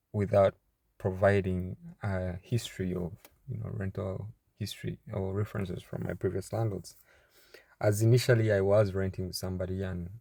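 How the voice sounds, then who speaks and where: A young man in his twenties from Uganda, a startup founder introducing himself and his work in a casual, halting way, with many fillers and restarts. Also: deep voice, gravelly, dark tone, slightly rough, no room sound. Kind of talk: casual personal introduction to camera.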